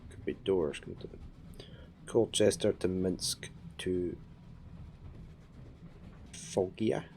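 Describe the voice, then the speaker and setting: A man streaming a puzzle game, chatting and thinking aloud, his voice croaky from a head cold. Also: sits low, very slow, a little mellow, slight background noise. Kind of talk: casual live-stream commentary.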